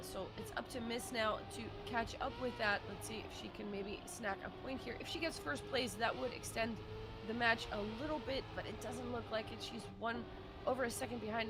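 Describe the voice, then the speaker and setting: A woman giving live, conversational esports match commentary, often pausing mid-sentence as she follows the play. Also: on the high side. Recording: clear background noise.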